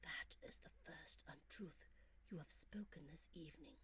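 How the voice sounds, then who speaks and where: A woman voicing a charming, intense Victorian gentleman in a storybook, courtly, romantic and deliberate. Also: low voice, whispered. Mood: intimate. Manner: courtly, deliberate, sometimes hushed.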